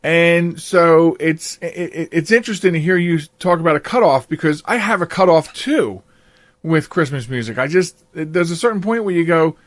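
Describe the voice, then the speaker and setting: Male podcast host, highish pitch, casual interviewing, halting delivery, very dry recording. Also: no echo. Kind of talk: casual radio-style interview chat.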